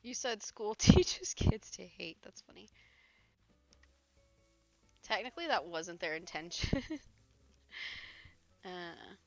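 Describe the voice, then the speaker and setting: Young woman streamer, hoarse and gravelly, lively pitch, chatty with frequent laughs. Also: slow, pauses often, dry recording, faint music behind. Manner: chatty, reads chat aloud, laughs often. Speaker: live streamer. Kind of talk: casual chat while gaming.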